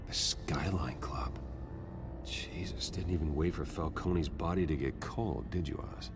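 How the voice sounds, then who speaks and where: A grim vigilante detective in a video game. The voice is extremely low, analytical and terse, weighing each statement gravely. Music plays clearly behind him. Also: male voice, unhurried. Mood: grimly resolved. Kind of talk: dramatic video game acting.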